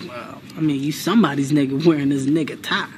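Man, acted, animated high voice, pushy street slang, aggressive, noticeable background noise, film comedy. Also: fast, lightly acted. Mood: provoking. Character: pushy, hot-headed, threatening male street customer. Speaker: customer.

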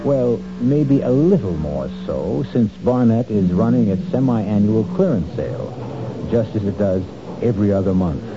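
A male host narrating a mystery introduction in a dramatic, measured storytelling manner. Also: lowish voice, expressive, a crowd in the background. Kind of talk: scripted narration. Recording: dull lo-fi 1970s radio broadcast with music. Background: music.

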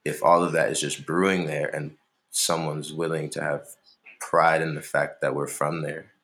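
A man's voice: a bass voice, little pitch movement, normal speed, a bit bright. A young man from Maryland, a musician, talking casually in an interview in a laid-back, rambling way with lots of fillers. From Maryland, USA.

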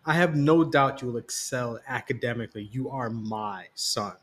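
A man's voice: medium pitch, moderate pace, slight background noise, close to an everyday voice. A man doing a firm, loving father. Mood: disappointed. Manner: firm, earnest, gentle.

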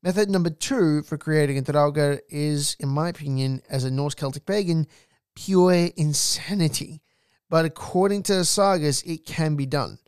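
A man's voice: fairly high pitch, normal speed, a little mellow, clean tone, no room sound, clean studio sound. A man hosting a solo podcast, narrating with strong emphasis and speaking directly to the listener with asides. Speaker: podcast host.

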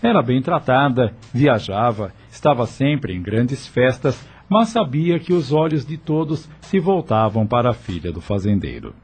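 Expressive male radio drama narrator. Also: mid-range voice, a little slow, hardly any pauses, slightly rough. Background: background music.

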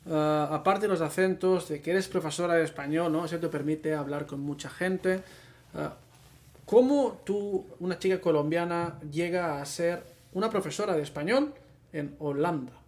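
A man from Spain, a podcast co-host, who asks the guest lively follow-up questions in casual conversation. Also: fairly high pitch, moderate pace. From Barcelona.

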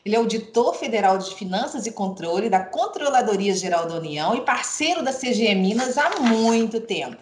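A woman's voice: fairly high pitch, big pitch swings, brisk, hardly any pauses, echoey room. A middle-aged woman hosting a podcast, formally welcoming a guest and reading his credentials. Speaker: podcast host. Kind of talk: podcast interview introduction.

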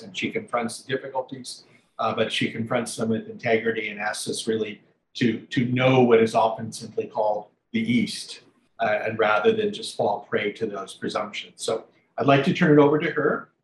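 A man introducing a guest, self-deprecating and halting with frequent uhs. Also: medium pitch, fairly even intonation. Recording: roomy with faint background noise.

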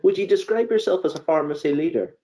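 A man's voice: fairly high pitch, fast talker, mellow. A man hosting a pharmacy podcast, chatty and rambling, often repeating his words as he asks questions. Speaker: podcast host. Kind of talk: casual interview.

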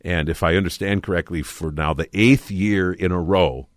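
Very deep-voiced male interviewer, close and dry. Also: moderate pace, no echo. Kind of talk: conversational interviewing.

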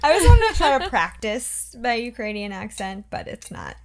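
A woman's voice: a little high, big pitch swings, normal speed. A woman co-hosting a podcast, casual and giggly in her chat. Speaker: podcast co-host.

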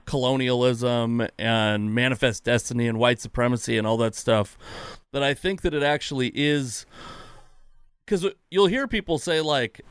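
Male podcast host, thirties, smooth voice, dry recording, casual rambling talk. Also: mid-range voice, moderate pace, no room sound. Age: 30s. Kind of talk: casual conversational interview.

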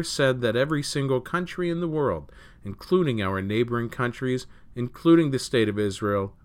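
A man hosting a news program, reading scripted items aloud in a measured, formal manner. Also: mid-range voice, fairly bright tone. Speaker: news reader and show host.

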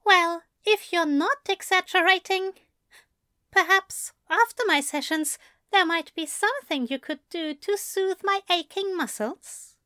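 A woman's voice: very high voice, a little slow, bright, forceful tone, no room sound, studio quality, heavily acted. A woman voicing a prim, contented man who teases his lover in a fan fiction audio drama. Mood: playful. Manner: prim, playfully indignant.

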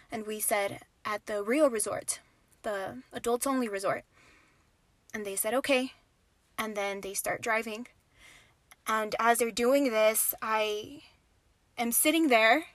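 A young woman in a lively storytime, her voice a bit high and her delivery animated, with dramatic pauses and casual fillers. The recording is dry and close, with no room sound. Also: dry recording. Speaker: podcast host. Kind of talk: storytime narration.

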